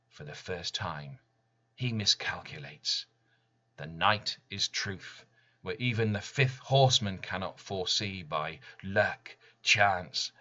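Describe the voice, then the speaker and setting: A man reading his poem as dramatic spoken word, deliberate and intense, with weighty pauses. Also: fairly low pitch, sharp tone, muffled recording. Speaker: poet reading own work.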